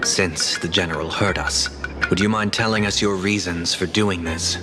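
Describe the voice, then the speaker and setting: Man acting a courteous gentleman, deep voice, very even, restrained, video game, polite. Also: normal speed, lightly acted. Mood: resigned, polite. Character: reserved, courteous, soft-mannered male gentleman. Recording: very loud music over the voice. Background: game music.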